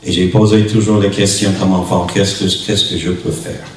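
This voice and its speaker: A man from New Brunswick, a native English speaker with an English-speaking Canadian accent, preaches as a visiting pastor in a halting way, in short phrases, searching for words. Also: low voice, flat delivery. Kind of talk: sermon. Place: church hall during a worship service. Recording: echoey hall, distant mic, faint noise.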